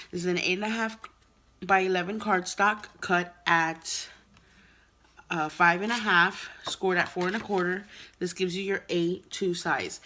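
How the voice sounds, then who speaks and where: A woman's voice: mid-range voice, a bit bright, slight background noise. A woman hosting a card-making tutorial, chatty and explaining each step, sounding nasal from a cold. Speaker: craft channel host.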